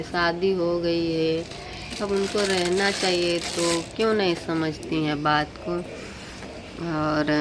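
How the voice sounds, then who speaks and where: A woman's voice: low-pitched, background noise. A woman vlogger, chatting casually and repetitively to viewers as friends. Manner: conversational, repeats phrases, addresses viewers as friends.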